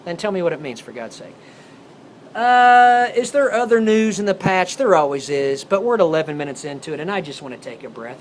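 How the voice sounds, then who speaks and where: An American man hosting an amateur radio show, rambling off the cuff in a folksy, jokey way with theatrical flourishes. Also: fairly high pitch. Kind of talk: rambling ad-libbed radio show commentary on news. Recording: clearly noisy recording with ambient sound.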